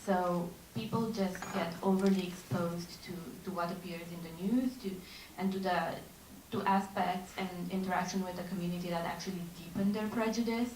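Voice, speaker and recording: A young woman from Romania asks a question from the audience, hesitant and often repeating words. Her voice is flat and steady, and it sounds far from the mic in an echoey hall. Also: fairly low pitch, moderate pace, hardly any pauses, a little hiss or hum. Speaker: audience member.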